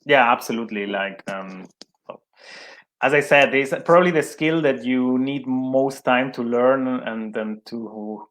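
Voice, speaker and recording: A young man, a non-native English speaker, giving an interview at a middle pitch. He hesitates often, doubles back on his words, and laughs lightly at himself. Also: measured pace, a bit bright. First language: not English. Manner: hesitant, many fillers and restarts, laughs at himself. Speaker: interview guest, sports event manager. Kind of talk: conversational interview.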